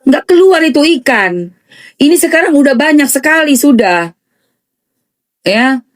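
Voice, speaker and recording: Woman gossip commentator, eastern Indonesian accent, sarcastic, emphatic, slightly high voice. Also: big pitch swings, a little slow. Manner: sarcastic, emphatic, repeats phrases for mockery.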